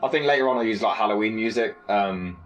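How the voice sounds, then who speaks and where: A man's voice: lowish voice, fast, highs cut off, soft background music. A man who made and acted in the film, talking casually on a cast commentary. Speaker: filmmaker and actor on a cast commentary.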